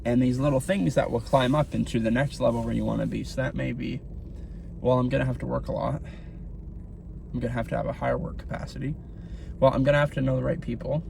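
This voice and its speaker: A man's voice: mid-range voice, steady tone, quick pace, vocal fry, a little mellow, slight background noise. A man around thirty giving casual motivational advice to the camera while driving, talking in a rambling way. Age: 30s. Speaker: vlogger. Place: in a car.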